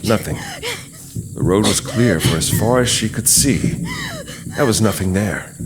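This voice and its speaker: A man narrating an audio drama in a measured, suspenseful, dramatic storytelling manner. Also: lowish voice, animated. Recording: long reverb, music behind.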